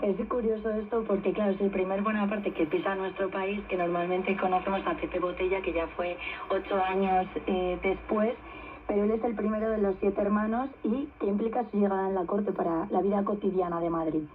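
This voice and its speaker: A woman's voice: mid-range voice, steady tone, fairly quick, phone-quality sound, a little hiss or hum. A woman, a radio host asking formal, scene-setting questions. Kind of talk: radio interview. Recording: thin shortwave radio reception.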